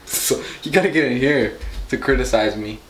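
A man's voice: mid-range voice, moderate pace, reverberant, slight background noise, rough recording. A young man in his twenties teasing his girlfriend in casual playful banter, filmed close by a camera in a small room. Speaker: vlogger.

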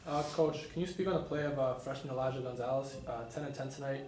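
Quick-talking male reporter from afar. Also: medium pitch, fairly even intonation, a little mellow, a little hiss or hum. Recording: distant, roomy.

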